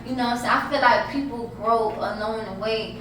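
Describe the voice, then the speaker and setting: A woman of about thirty hosts a relaxed conversation, sitting a little away from the mic. Her voice sits slightly high. The room is echoey and noisy. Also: fairly quick, recorded from a distance. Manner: encouraging, affirming with frequent "right". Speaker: podcast host. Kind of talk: casual chat.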